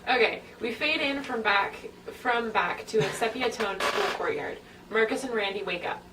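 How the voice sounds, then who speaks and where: A woman's voice: on the high side, normal speed. Woman reading out script narration, amused and informal, noisy room, mic a bit far. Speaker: reader of stage directions. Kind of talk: amateur script read-through, narrating.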